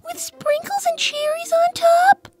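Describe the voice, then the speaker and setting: A woman's voice: extremely high pitch, steady tone, a little slow, soft background music. A woman voicing a sweet, pleading little cartoon animal child in a cutesy, childlike way for a comic dub.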